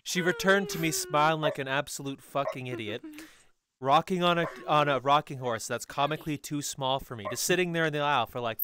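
A man's voice: a little high, brisk, background noise. A man telling stories casually among friends, with other people chattering behind him.

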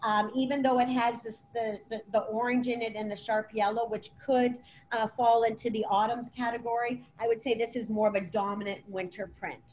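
Higher-voiced middle-aged woman on noisy call. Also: fairly even intonation, brisk, a little mellow. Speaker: colour analysis stylist presenting. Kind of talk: informal webinar explanation with questions. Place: clothing boutique, indoors. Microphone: laptop or tablet on video call. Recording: video call with clear background noise.

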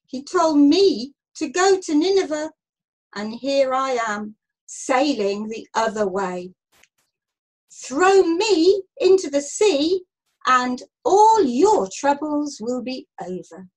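A woman voicing a reluctant, self-pitying male prophet in a children's story, with a groaning, drawn-out, whiny delivery. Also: very high-pitched, big pitch swings, heavily acted, rough recording. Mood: anguished confession.

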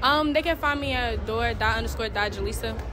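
A young woman answers briefly and dismissively in a high voice. Music and a crowd fill the background. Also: a little fast. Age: young adult. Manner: dismissive, curt. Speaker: interviewee.

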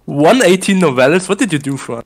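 A man's voice: a little high, lively intonation, brisk. A man in casual voice chat during a speedrun race, laughing often and teasing the others with a lot of swearing.

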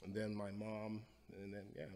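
A man's voice: on the low side, completely flat delivery, moderate pace, a little mellow. A man in his thirties from Guyana, telling stories bluntly and swearing freely in a podcast studio interview. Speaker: podcast guest, wildlife photographer. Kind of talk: casual interview storytelling. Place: podcast studio with wood slat wall. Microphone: boom-arm studio mic.

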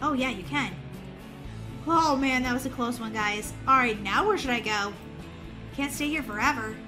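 A woman hosting a gaming video, giving excited, playful and dramatic gameplay commentary for young viewers. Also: high voice, normal speed, music in the background.

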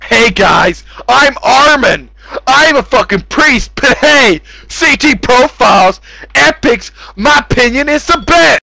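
A man giving a mocking, over-the-top, profane read-aloud of gamers' posts, often breaking into shouts. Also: very high voice, lively intonation, takes it slowly, gravelly, dry recording, rough recording. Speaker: gamer in a voice chat.

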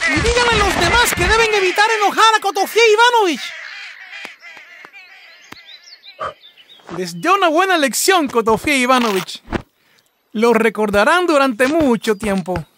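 A woman voicing a sly, coaxing female fox in a cartoon, with a theatrical, flattering manner. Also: high voice, normal speed, a little hiss or hum. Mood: bossy, smug. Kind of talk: cartoon voice acting.

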